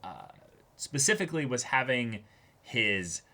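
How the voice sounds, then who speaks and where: A young man in casual discussion as co-host of a reaction show, often repeating words and acting out moments as he talks. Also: mid-range voice. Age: young adult. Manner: animated, halting and repetitive, acting out moments. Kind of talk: casual chat, episode discussion. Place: small indoor room, seated before a backdrop.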